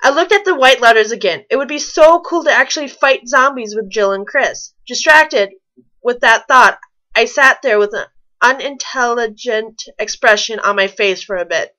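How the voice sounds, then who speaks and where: A woman's voice: fairly high pitch, normal speed, pushed and bright, rough recording. A woman reading a story aloud and commenting on it with wry, nitpicking asides. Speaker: fan fiction reader and commentator.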